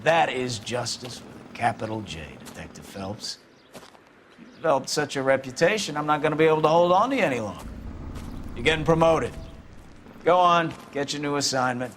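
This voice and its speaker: A man's voice: medium pitch, expressive, brisk, fairly bright tone, slight background noise, lightly acted. An actor playing a blunt, sardonic male police captain in a 1940s crime game. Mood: gloating.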